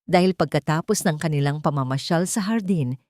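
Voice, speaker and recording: A Filipino woman narrates an audio drama in a low register. Her tone is dark and mellow, and she delivers the scripted story dramatically. The recording is dry and close, with no room sound. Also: a little fast, no room sound.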